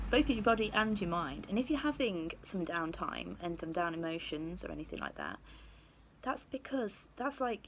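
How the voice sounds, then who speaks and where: A young woman who is losing her voice, husky, talking candidly to camera with lots of ums. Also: lowish voice, a little hiss or hum. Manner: candid, rambling with many ums. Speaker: beauty vlogger. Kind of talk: casual talk to camera.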